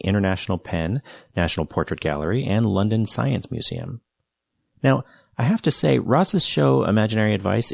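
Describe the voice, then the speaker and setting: A man's voice: low-pitched, creaky, a little mellow, dry recording. A man hosting a podcast, reading an introduction and then chatting earnestly and enthusiastically with a guest, often restarting his sentences. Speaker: podcast host. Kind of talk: read intro then casual interview chat.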